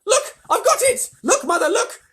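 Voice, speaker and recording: Reader doing an overexcited young boy, high-pitched and shrieking. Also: brisk. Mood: overjoyed, shouting. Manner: shrieking, gabbling in excitement. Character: excited young boy, breathless and overjoyed.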